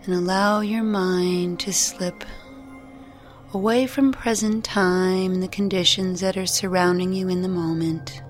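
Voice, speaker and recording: A woman guiding a meditation in a gentle, soothing, unhurried way, leaving long pauses between phrases. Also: fairly low pitch, fairly even intonation. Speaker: meditation guide. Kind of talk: guided meditation narration. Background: music.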